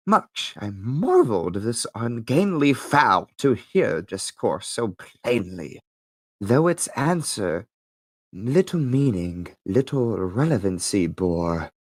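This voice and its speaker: Theatrical man with sweeping, lively pitch. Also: takes it slowly, no room sound. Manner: theatrical, with big emphatic swings. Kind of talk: dramatic poetry recitation.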